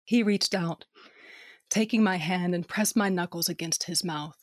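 A woman narrating an audio drama in first person as a tough, wry smuggler, soft when tender. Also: lowish voice, a little slow, no echo, studio quality.

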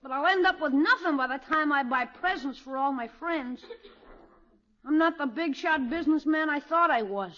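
High, bright, cheeky young boy. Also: moderate pace. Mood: deflated. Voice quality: youthful, boyish. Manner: slangy, brash, eager. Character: cheeky, scheming young boy, eager salesman nephew. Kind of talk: 1950s radio sitcom acting. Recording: dull vintage radio recording.

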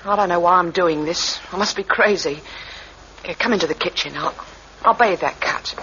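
A woman's voice: lowish voice, moderate pace, background noise, close to an everyday voice. An actress playing a tired but kindly young woman of the streets in an old radio crime drama, earnest, on a muffled recording. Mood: tender, flustered. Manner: earnest, plain-spoken.

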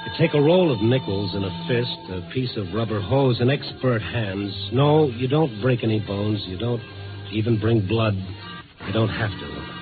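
A man's voice: on the low side, animated, moderate pace, over music, close to an everyday voice. A man playing a wry, tough newspaper reporter in a 1950s radio noir drama, with a clipped, sardonic delivery. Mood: brooding, weary. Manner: sardonic, hard-boiled, clipped. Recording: narrow-band vintage radio broadcast.

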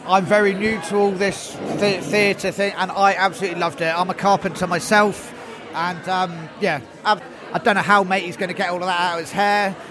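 Male vox pop, British accent, high pitch, enthusiastic, busy crowd behind. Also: flat delivery, a little fast. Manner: enthusiastic, jokey. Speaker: audience member, carpenter. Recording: noisy crowd around the voice. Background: crowd chatter.

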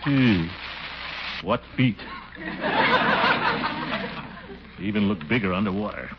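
An actor playing a pompous, scheming middle-aged town commissioner in a blustery 1940s radio comedy style. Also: male voice, on the low side, wildly swooping pitch, takes it slowly, heavily acted. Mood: sly, amiable. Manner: blustery, swooping delivery with chuckles. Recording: muffled, noisy 1940s radio recording.

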